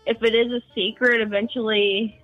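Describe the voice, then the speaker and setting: A woman's voice: fairly high pitch, level tone, normal speed, soft background music. Teenage girl on a tinny phone line. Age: teens. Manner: earnest, candid, with giggles. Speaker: caller. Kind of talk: casual phone call.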